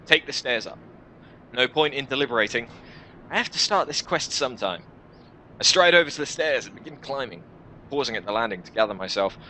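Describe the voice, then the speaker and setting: A man's voice: fairly low pitch, pushed and bright, a little hiss or hum. A man hosting a gaming video, reading visual novel text aloud with dramatic narration and wry, incredulous asides.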